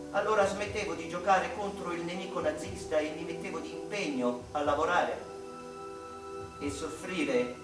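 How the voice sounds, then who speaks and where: An actor playing a wistful, anguished male mathematician in a theatrical radio monologue, speaking to his mother with halting, dramatic delivery. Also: high voice. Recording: echoey room, distant microphone, music behind.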